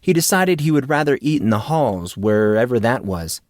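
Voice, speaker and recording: A man reads story prose as an audiobook narrator. His voice sits at a medium pitch, and the recording is dry, with little room sound. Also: sing-song, normal speed, no echo.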